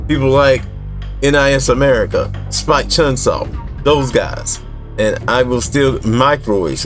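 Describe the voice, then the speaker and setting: A man hosting a gaming video with casual, conversational walkthrough commentary, repeating points for emphasis. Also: mid-range voice. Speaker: gaming YouTuber, host.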